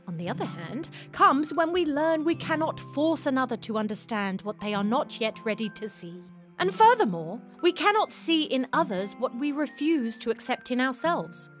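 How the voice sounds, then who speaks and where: An actress playing a wise, wry, playful woman who gives gentle counsel in a period audio drama. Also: high voice, very animated, unhurried, faint music behind, heavily acted. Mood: wise, reassuring.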